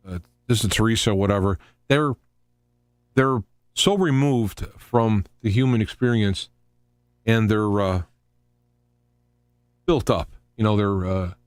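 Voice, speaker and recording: A man's voice: on the low side, dry recording. Rambling male talk radio host, dry sound. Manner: halting and rambling, often repeating and restarting phrases; opinionated, posing rhetorical questions. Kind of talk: unscripted political monologue on a live talk show.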